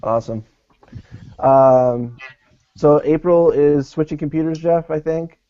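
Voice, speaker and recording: A man's voice: on the high side, a little slow, clean tone, no room sound. A man hosting a casual group video call, easygoing as he moves the introductions along. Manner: easygoing, moderating the talk. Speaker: host of the online hangout. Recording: slightly dull call audio.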